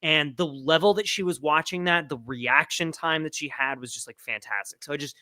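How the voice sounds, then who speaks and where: A man co-hosting a podcast, giving casual soccer analysis in an animated, emphatic way. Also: a little high, a little fast, no echo. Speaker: podcast co-host.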